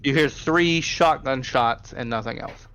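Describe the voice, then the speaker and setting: A man's voice: medium pitch, unhurried, a bit bright, smooth, highs cut off. A man acting as game master, casually narrating and directing a tabletop roleplaying game.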